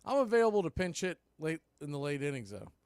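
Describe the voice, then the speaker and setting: Male play-by-play announcer, fairly high voice, relaxed with wry asides, close dry mic. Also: fast talker, clear, resonant tone, no echo. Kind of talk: baseball play-by-play commentary.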